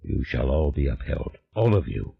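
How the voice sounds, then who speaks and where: A man voicing an invisible ancient male demon, speaking slowly in a hushed, ominous way. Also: rumbling low, very animated, gravelly, breathy edge, muffled recording, pitched below the performer's own voice, exaggerated voice.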